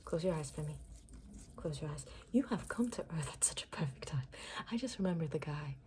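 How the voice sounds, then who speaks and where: A woman's voice: low voice, expressive, brisk, dark tone, slight background noise. A woman doing an ASMR roleplay as a makeover stylist, murmuring repetitive, reassuring step-by-step instructions in a personal-attention style.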